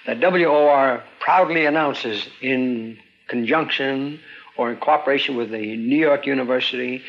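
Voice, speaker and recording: An older man with a creaky voice, a radio engineer looking back on his working life. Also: mid-range voice, moderate pace. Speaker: pioneer radio engineer recalling his career. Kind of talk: reminiscing. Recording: dull, noisy archival radio interview.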